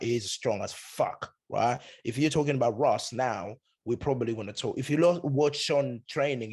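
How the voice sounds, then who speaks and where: A man's voice: mid-range voice, a little mellow, husky. A man in his thirties hosting a podcast, asking questions in a teasing, casual way with lots of "right?". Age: 30s. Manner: teasing, swears, says "mate" and "right?". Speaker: podcast host.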